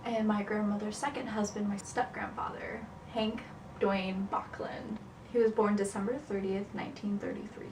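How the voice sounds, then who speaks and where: A woman's voice: medium pitch, level tone. A young woman, a student, narrating and casually interviewing her family at home, chatty and teasing. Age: young adult. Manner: chatty, teasing, quick to laugh. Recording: roomy, distant camera mic, faint hiss.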